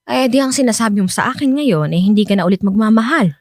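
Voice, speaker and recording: An actress playing a feisty, sharp-tongued older woman in a radio drama, scolding and bantering as she resists a suitor. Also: a little high, animated, fast talker, dry recording, studio quality. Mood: curious, probing.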